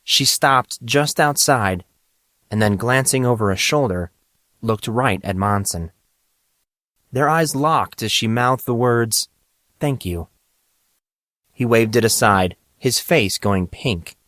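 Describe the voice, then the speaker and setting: Male narrator for audio fiction, mid-pitched, scripted read, recorded dry and close. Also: slow, fairly bright tone, no echo.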